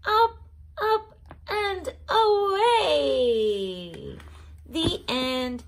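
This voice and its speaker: A woman reading a children's picture book aloud as the channel's host, slowly and with clear stress on each word. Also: sits high, expressive. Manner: slow, clearly stressed storytelling.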